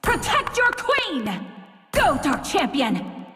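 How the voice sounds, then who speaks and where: Long reverb and music carry this vengeful fairy-tale queen from a video game. She speaks slowly and with cold, regal poise. The delivery is proud and dramatic. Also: extremely high pitch, wildly swooping pitch, sharp tone, heavily acted. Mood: commanding. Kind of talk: video game character voice lines.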